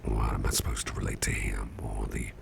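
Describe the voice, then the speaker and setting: A man playing a sickly, drowsy, kindly boss in an ASMR roleplay, murmuring breathily and haltingly in a gravelly voice, often repeating himself. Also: very deep voice, flat delivery, background noise, heavily acted. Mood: uneasy, puzzled. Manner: slow, rambling, hesitant, repeating himself. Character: sickly, drowsy, sweet-tempered boss confiding in his employee.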